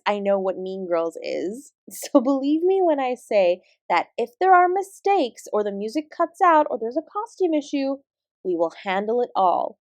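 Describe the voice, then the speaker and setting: A woman acts out an apsara living quietly as a dance teacher in an audio drama. Her voice sits fairly high and moves with very animated intonation. She has an instructive, composed manner, recorded in a dry space. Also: no room sound. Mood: confiding, amused, then rallying. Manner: encouraging, instructive, addressing a class. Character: female apsara dance teacher, warm, encouraging, defensively composed. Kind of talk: roleplay audio drama acting.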